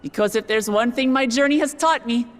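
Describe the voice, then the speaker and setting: An actress playing an earnest, warm-hearted young woman who has just become ruler, delivering a heartfelt ascension speech in a video game cutscene. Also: a little high, fairly quick, natural voice. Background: orchestral game music.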